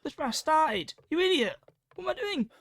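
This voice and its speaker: A woman's voice: high voice, big pitch swings, moderate pace, fairly bright tone, dry recording. A woman giving casual, reactive commentary while playing a game, muttering to herself and swearing mildly at it. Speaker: gamer narrating own playthrough.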